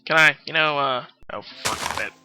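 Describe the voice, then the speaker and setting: A man's voice: on the high side, normal speed. A man telling stories casually on a game stream, rambling absent-mindedly and often losing his thread. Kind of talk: casual live-stream storytelling while gaming. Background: background music.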